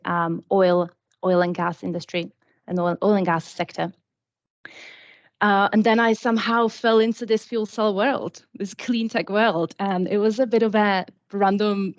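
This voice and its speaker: A woman in her twenties from the Czech Republic, a native Czech speaker, telling her story chattily as a panel guest. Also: mid-range voice. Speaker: panel guest, product systems engineer. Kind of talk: casual panel talk. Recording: dull lo-fi sound.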